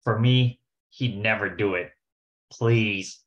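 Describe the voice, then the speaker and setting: A wheedling young gambler in an old-time radio play, put on by an amateur actor. He talks in slangy wise-guy fashion at a middling pitch. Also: slow, dull audio, close to an everyday voice. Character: wheedling young male gambler, scheming brother.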